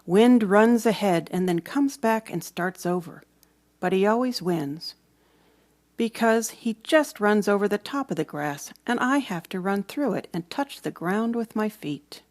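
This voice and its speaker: A woman reading a storybook aloud for children, with dramatic emphasis and hushed moments. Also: mid-range voice, animated, measured pace. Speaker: storybook reader. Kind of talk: expressive children's read-aloud narration.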